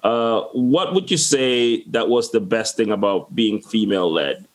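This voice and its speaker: A man with a fairly low voice hosts a podcast in a casual, rambling way. His questions wander and restart before they land. He grew up in the Dominican Republic. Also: measured pace. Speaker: podcast host. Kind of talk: casual interview chat.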